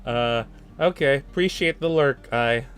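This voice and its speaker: A man's voice: mid-range voice, unhurried, fairly bright tone. A man hosting a casual livestream, speaking hesitantly with stammers and a giggly manner over a music playlist. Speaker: livestream host.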